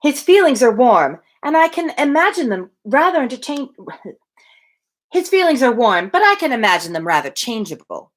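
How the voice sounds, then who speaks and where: A woman voicing a self-assured young genteel woman from a novel, reasoning aloud in a measured, emphatic way. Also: high-pitched, sing-song. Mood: musing. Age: young adult.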